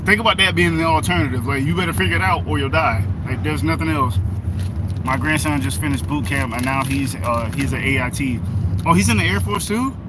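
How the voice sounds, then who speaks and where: A man's voice: fairly high pitch, a little fast. A man in his thirties hosting a live stream on his phone, talking emphatically and repeating phrases for stress as he reads the chat. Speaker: livestream host. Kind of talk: casual live-stream talk, reading chat. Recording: loud outdoor noise, phone held at a distance.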